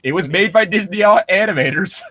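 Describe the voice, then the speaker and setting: A man's voice: high voice, animated, brisk, a bit bright, no room sound. A man co-hosting casual comedic commentary on internet horror stories, joking and swearing as he goes. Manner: joking, swearing, breaking into laughter. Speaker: co-host.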